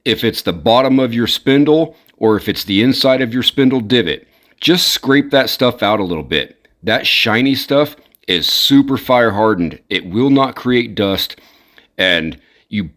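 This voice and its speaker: A man's voice: on the low side, fairly bright tone. A man giving instructional commentary, speaking directly and encouragingly as he coaches. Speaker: instructor and video host.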